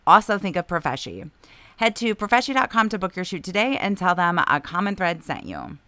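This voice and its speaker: A woman, hosting a podcast. She is hoarse from a long illness, and her deep, raspy voice has a lot of vocal fry. She chats easily and laughs often. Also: very animated, a little fast, sharp tone, no echo. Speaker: podcast host. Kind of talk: casual chat and read ads.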